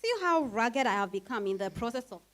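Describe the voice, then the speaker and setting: A high-voiced, outspoken mother in a dramatized reading, played by a woman. She speaks emphatically, with lively swings of pitch, as someone used to running a busy household. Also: a little fast, lightly acted. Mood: animated, insistent. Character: Opokuya, busy, outspoken married mother of four. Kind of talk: dramatized stage-style reading.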